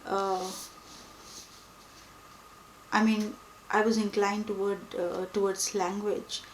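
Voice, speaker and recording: A young woman whose first language is Hindi, talking reflectively and pausing often with fillers. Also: medium pitch, flat delivery, a little hiss or hum. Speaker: book vlogger. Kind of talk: reflective personal talk to camera. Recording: echoey room with faint ambience.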